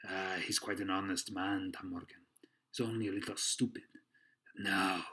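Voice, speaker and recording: A husky, forceful pirate innkeeper acted out by a man reading a storybook. He is jovial and sly on top, loud and domineering underneath, and blusters along in folksy seafaring talk. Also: lowish voice, normal speed. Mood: scheming, excited.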